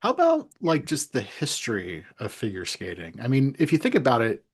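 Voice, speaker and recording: A man's voice: mid-range voice, wildly swooping pitch, slightly rough. Casual man asking informal questions. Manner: loose, informal, halting questions. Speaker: interviewer. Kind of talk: casual conversational interviewing.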